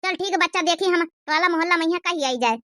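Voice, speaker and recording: Casting: woman playing scolding cartoon matriarch, Awadhi accent, extremely high sharp voice, bossy and demanding. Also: quick pace, no echo, studio quality. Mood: fussing. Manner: bossy, nagging. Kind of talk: cartoon comedy dialogue.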